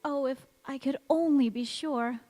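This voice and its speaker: A woman's voice: sits high, measured pace, mellow. A woman acting a sweet, sentimental young bride-to-be with earnest, theatrical delivery in an old-time radio drama. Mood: anxious, romantic. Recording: echoey church hall stage recording.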